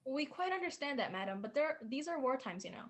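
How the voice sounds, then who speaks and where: An actress playing a polite, officious hospital receptionist in a 1940s radio drama, formal and businesslike. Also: female voice, high-pitched, big pitch swings, fast.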